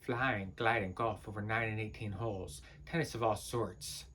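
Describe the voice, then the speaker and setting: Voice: medium pitch, animated, moderate pace, fairly bright tone, a little hiss or hum, natural voice. An actor performing a wretched servant's absurdist stage monologue, declaiming pseudo-scholarly nonsense with stammering repetitions and swings between murmur and cry. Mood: muttering, rambling. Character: Lucky, a wretched servant spouting frantic broken pseudo-scholarly rambling.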